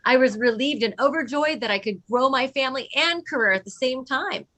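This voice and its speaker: A woman's voice: sits high, expressive, a little fast, a bit bright. A woman reading a children's picture book aloud, with teacherly emphasis for young listeners. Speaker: read-along co-reader.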